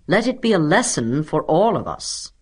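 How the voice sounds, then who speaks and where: A woman's voice: low-pitched, big pitch swings, moderate pace, a little mellow, husky, voice pushed up, full character voice. A woman voicing a small, dapper male substitute teacher in a children's storybook, pompous, professorial and commanding. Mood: pompous lecturing.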